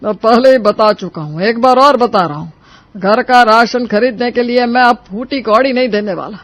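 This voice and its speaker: Very high-voiced stubborn old father-in-law acted by a man. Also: normal speed, a bit bright, dry recording. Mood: stern, irritated. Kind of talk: animated Hindi moral story dialogue.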